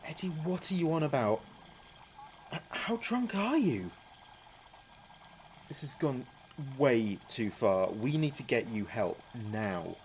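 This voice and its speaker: An actor playing an alarmed, exasperated, frightened brother at a graveside, halting and exclamatory, in a horror audio drama. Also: male voice, mid-range voice, very animated, a little mellow, slight background noise. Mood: shocked, exasperated. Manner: halting, exclamatory, emotionally raw.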